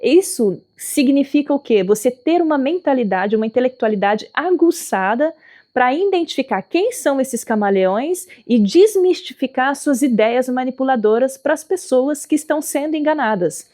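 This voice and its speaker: A woman's voice: sits high, lively intonation, normal speed, a little mellow. A woman teaching about spirituality and politics in an earnest, explanatory manner, stressing her key words and speaking as someone whose first language is not Portuguese. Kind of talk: spoken talk on spirituality and politics.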